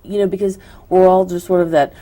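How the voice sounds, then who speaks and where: Mellow-voiced chatty woman host. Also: on the low side, level tone, a little fast. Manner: rambling, chatty questions with many "you know"s. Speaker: TV show host. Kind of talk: casual interview. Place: local cable TV studio.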